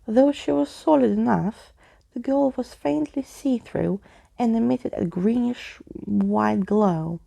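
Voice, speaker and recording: A woman reading a storybook aloud in a soft-spoken ASMR style, with casual asides. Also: on the high side, slow, gravelly.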